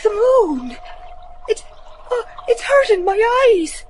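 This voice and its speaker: A frightened wife in a gothic radio play. Her very high voice trembles and pleads, dragging out words breathlessly, with faint noise behind her. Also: very animated, slow pace, a little mellow, heavily acted. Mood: fearful, tearful. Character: terrified working-class wife searching for her husband. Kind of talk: gothic horror radio drama acting.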